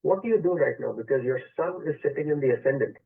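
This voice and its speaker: A man's voice: fairly high pitch, lively intonation, quick pace, creaky, breathy edge. A male radio astrology host taking a caller, explaining the chart and switching between English and Hindi phrases. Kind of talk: call-in radio consultation. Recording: tinny phone-line sound.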